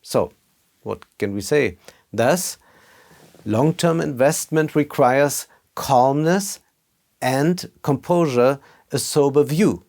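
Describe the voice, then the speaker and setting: A man presenting a scripted video essay as a film critic, with measured, careful phrasing. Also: medium pitch, darkish tone.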